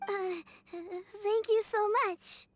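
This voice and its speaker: A woman's voice: very high-pitched, steady tone, drawn-out words, faint music behind, heavily acted. A woman voicing an earnest, polite, curious little girl in a fantasy game, sweet and a little hesitant. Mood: thoughtful.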